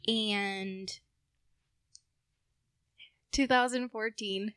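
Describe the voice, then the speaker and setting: Young american-accented woman telling her story, bright voice, slow n a bit nervous, halting. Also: medium pitch, steady tone, smooth, no room sound, studio quality. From Puerto Rico. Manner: slightly nervous, halting delivery. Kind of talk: casual storytelling.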